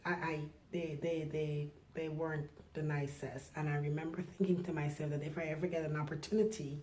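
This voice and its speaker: A middle-aged woman from Guyana with a deep, low voice talks slowly and thoughtfully, often stopping with "um" as she reflects. A faint hiss sits under the recording. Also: level tone. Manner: soft-spoken, reflective, halting with fillers. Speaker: nurse, interview guest. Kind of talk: personal interview.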